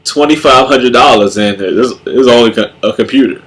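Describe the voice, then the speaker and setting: A young man with a congested, nasal voice, talking casually and haltingly about faith, with many repeated words and restarts. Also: mid-range voice, a bit bright. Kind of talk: casual spoken reflection on faith.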